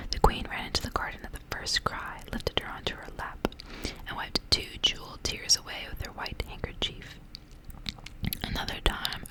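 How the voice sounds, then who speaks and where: A woman reading a story aloud in an ASMR style, whispering softly and without hurry. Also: poor audio. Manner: whispering, soft and unhurried storytelling. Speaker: ASMR reader.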